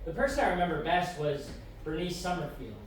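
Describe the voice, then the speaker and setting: A woman playing a teasing otherworldly former adjudicator in an audio drama, with a playful, informal, slightly halting delivery. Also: fairly low pitch, animated, far from the mic, lightly acted. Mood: wistful. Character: Quench, teasing otherworldly former adjudicator who lives outside time. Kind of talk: audio drama acting, conversational. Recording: very echoey, off-mic, faint noise.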